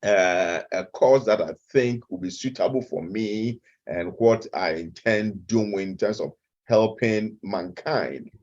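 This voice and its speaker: A middle-aged man with a Ghanaian accent, a guest expert answering interview questions slowly, with frequent hesitant fillers. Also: lowish voice, a little mellow, lo-fi sound. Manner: measured and slow, with many hesitant "uh" fillers. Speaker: guest expert, nutrition professor.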